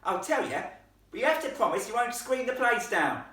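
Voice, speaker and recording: A cheeky young ghost boy from a storybook, acted out by a man, with a rough Cockney accent. He sounds uncouth, smug and easily irritated, pitched high and heard from a distance in an echoey room. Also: big pitch swings, a little fast, sharp tone, heavily acted. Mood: annoyed. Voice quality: rough-edged, uncouth speech.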